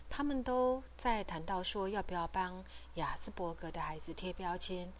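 A woman's voice: on the low side, slight background noise. A woman with a Taiwanese Mandarin accent hosting a podcast, explaining things informally. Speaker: podcast host.

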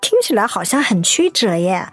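A woman's voice: mid-range voice, very animated, moderate pace, soft background music. An actress playing an eager, curious teenage daughter in a radio drama, asking her mother questions. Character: curious cheerful teenage girl, eager and chatty.

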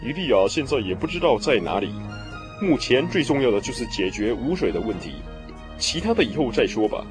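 An actor playing a devout, anxious palace steward in a radio drama, speaking earnestly and deferentially. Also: male voice, mid-range voice, sing-song, fairly quick, over music, close to an everyday voice. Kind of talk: Mandarin radio drama acting.

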